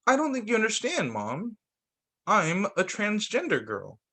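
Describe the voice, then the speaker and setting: A man's voice: high-pitched, huge pitch swings, a little slow, sharp tone, breathy edge, voice pushed up, exaggerated voice. A man voicing an earnest, frustrated young girl in a children's storybook. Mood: earnest, insistent.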